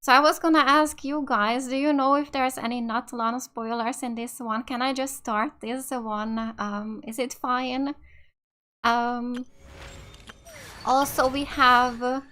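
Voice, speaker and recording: A woman's voice: a little high, fairly even intonation, slow pace, bright, forceful tone. A woman from Finland with a Finnish accent giving casual, slightly hesitant let's play commentary as a gaming YouTuber. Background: game music.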